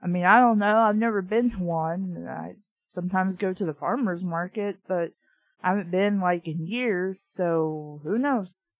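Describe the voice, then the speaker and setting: Slow-paced woman reading aloud with blunt commentary. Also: lowish voice, no room sound, lo-fi sound. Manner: blunt, incredulous asides with swearing. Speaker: host reading and reviewing a children's book. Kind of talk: read-aloud with casual running commentary.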